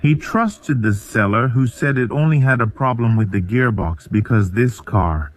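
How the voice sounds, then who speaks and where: A man's voice: medium pitch, normal speed. A man walking viewers through a car in a plain, matter-of-fact explanation. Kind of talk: explanatory walkthrough.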